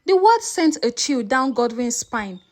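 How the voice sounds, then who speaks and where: A woman's voice: on the high side, big pitch swings, measured pace. A woman storyteller narrating a scripted story in a dramatic way.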